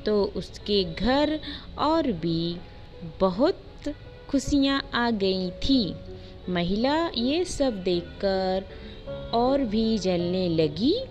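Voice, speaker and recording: A woman narrating a scripted moral story in simple, deliberate short phrases. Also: fairly high pitch, darkish tone, muffled recording.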